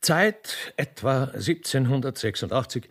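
A man's voice: medium pitch, animated, unhurried. A man narrating a radio play in a theatrical, mock-solemn and ceremonious way.

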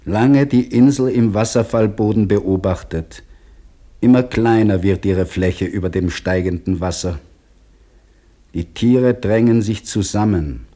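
Sober working man's diary: very low, dark tone, measured radio drama delivery. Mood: sober, reflective. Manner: measured, terse, reflective. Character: sober, weary male dam labourer reading his diary.

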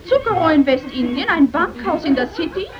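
High, animated young society lady. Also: fairly quick, crowd noise. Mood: amused irony. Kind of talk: old German radio drama acting. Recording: dull old radio recording with loud music.

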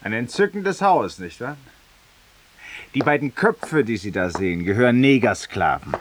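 A man's voice: mid-range voice, lively intonation, normal speed, noisy background, close to an everyday voice. An actor playing a vain, ironic, confessional man in a 1950s radio drama monologue, urbane and theatrical, addressing a silent companion. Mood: ironic, savouring. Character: vain, confessional, ironic male Parisian lawyer in exile. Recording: distant-sounding 1950s radio studio recording.

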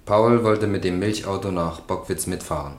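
A man's voice: low voice, normal speed, lightly acted. An actor playing an evasive, sullen man on trial in a radio crime drama, giving terse, reluctant answers in a roomy, echoing space.

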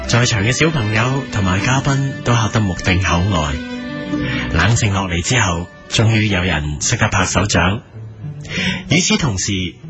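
A man's voice: low voice, natural voice. A man playing an earnest narrator of a comic mock documentary, with a Hong Kong Cantonese accent. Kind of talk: scripted narration. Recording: music under the voice.

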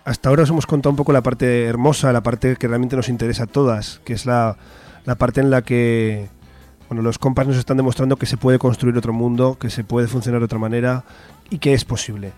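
A man's voice: medium pitch, steady tone, fairly quick, a little mellow. A man with an accent from Spain, hosting an informal radio commentary in a hesitant, explanatory way. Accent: Spanish, from Spain. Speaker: radio host. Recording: music behind the voice. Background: music.

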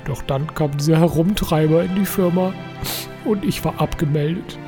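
A man's voice: fairly high pitch, normal speed, over music, natural voice. A man playing a fussy, lovesick, scheming bureaucrat in a comic audio drama, officious and melodramatically pleading. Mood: lovesick confessing.

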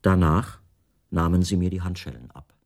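A man playing a composed captive writer, narrating in the first person for a radio drama in a measured, precise way. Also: low voice, a little mellow. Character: captive male writer recounting his imprisonment, precise, composed.

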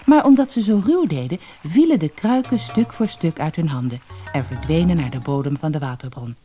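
A storyteller narrating a fairy-tale audiobook in an expressive way. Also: medium pitch, brisk, faint music behind. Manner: expressive storytelling, acting out dialogue.

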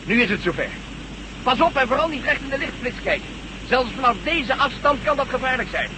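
A man's voice: extremely high pitch, big pitch swings, fairly quick. A man playing a cocky, sardonic pilot in a science-fiction radio play, wry and emphatic. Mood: tense. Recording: noisy old radio play, aircraft drone.

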